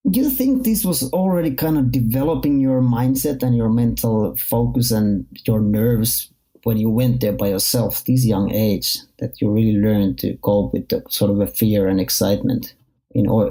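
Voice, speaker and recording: Very dark, creaky male interviewer. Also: lowish voice, normal speed, low-quality recording. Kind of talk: conversational interview.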